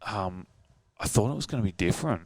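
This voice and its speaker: A man with a very deep voice, a singer-songwriter guest telling a story in a casual interview, earnest and rambling with many fillers and restarts. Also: animated, brisk, husky, dry recording.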